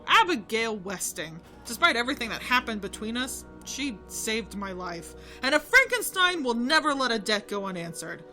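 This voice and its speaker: Woman acting a proud, bright-voiced reformed adversary. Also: on the high side, very animated, moderate pace, few pauses, soft background music, full character voice. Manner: haughty, wry, poised. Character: proud, self-assured female former adversary offering help. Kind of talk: audio drama acting.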